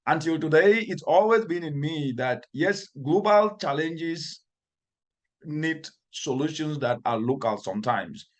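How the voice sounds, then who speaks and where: A man's voice: a little high, lively intonation, a little slow. A man from Cameroon with a Cameroonian accent, giving earnest, emphatic interview answers as an environmental expert and building his phrases through repetition. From northwestern Cameroon.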